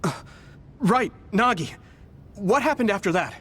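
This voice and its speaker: A man's voice: high-pitched, very animated, unhurried, a bit bright, breathy edge, full character voice. A man voicing an earnest, polite young hero in an anime-style video game. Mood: grateful, apologetic.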